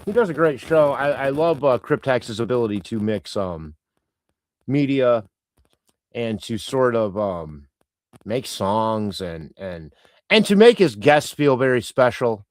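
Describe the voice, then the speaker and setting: A man whose first language is Croatian chats loosely through a livestream, reading viewers' comments in a rambling way full of ums and restarts. His pitch swings about in a lively way, and the sound is dry and close. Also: a little slow, no room sound. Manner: rambling, chatty, many fillers and restarts. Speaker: livestream host reading chat. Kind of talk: casual live-stream chat.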